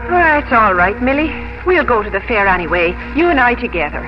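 High-voiced earnest young woman. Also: very animated, brisk. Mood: wistful, hopeful. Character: earnest, devoted young farm woman in love. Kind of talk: 1950s radio drama acting. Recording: muffled old radio broadcast, music underneath.

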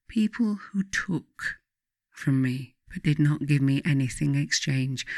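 A woman's voice: sits low, dry recording. Woman narrating reflectively, close dry recording. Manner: measured, deliberate phrasing with frequent pauses. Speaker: author reading own book, guided meditation narrator. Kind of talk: scripted audiobook narration, reflective guided meditation.

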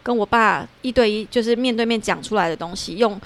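A woman's voice: medium pitch, a little fast, a bit bright, a little hiss or hum. A woman from Taiwan with a Taiwanese Mandarin accent, talking candidly and reflectively as a guest in a casual interview. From Tainan, Taiwan. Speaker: podcast guest, theatre performer-writer.

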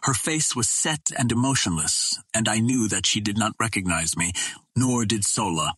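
A man reading an adventure novel aloud as scripted dramatic narration, in a measured, literary storytelling manner. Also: on the low side, expressive, fairly bright tone, slightly rough, no echo. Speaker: audiobook narrator.

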